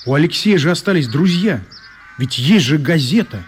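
A man's voice: fairly high pitch, lively intonation, normal speed, slight background noise, lightly acted. A man playing a firm, kindly, plain-spoken journalist in a radio play. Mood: firm, concerned. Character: firm, kindly journalist, friend of a dead colleague.

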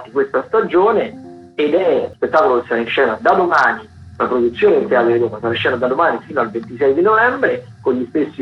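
A man hosts an informal radio arts rundown at a middling pitch. He speaks haltingly, correcting himself and dropping in chatty asides. The sound is roomy and slightly dull, like a lo-fi broadcast. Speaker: radio host presenting theatre listings.

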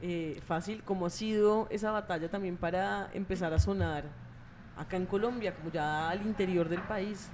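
A woman's voice: on the low side, fairly even intonation, normal speed, darkish tone, slight background noise. Chatty female interviewer. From Ecuador. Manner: chatty, thinking aloud with many fillers. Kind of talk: casual interview.

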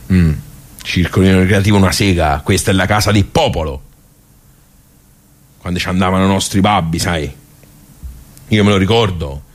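Grumbling old Tuscan man, low-pitched, colloquial and rambling, nostalgic club regular for a radio monologue. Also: slightly rough. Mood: nostalgic, indignant, then nostalgic, proud. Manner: colloquial, rambling, halting word searches, rhetorical asides. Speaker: stage actor. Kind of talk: theatrical radio soliloquy, conversational.

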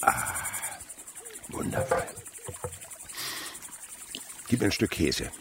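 An actor playing an aging, genial naturalist leading a hike in a German radio play, with a gravelly older voice. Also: male voice, low-pitched, very animated, very slow, slight background noise, exaggerated voice. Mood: savoring. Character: aging male insect researcher, genial, fond of food, leading a hike.

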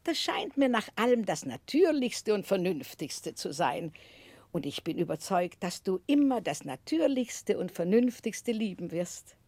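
Husky, imperious older aunt. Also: female voice, medium pitch, sing-song, a little mellow, dry recording. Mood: earnest, resolute. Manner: emphatic, deliberate, imperious. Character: strict, eccentric, resolute older aunt, fond but blunt. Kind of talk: 1960s German radio play acting.

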